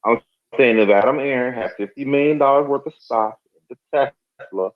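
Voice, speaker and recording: A man's voice: mid-range voice, normal speed. A man in his forties arguing insistently on a group call, repeating his question. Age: 40s. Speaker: call participant. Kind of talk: heated debate on a group call. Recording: phone-like call audio.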